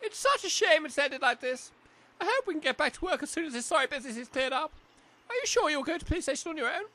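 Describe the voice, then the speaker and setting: A man's voice: very high-pitched, very animated, quick pace, sharp tone, full character voice. A man voicing a genteel, anxious village lady singer with polite manners in a comedy audio drama.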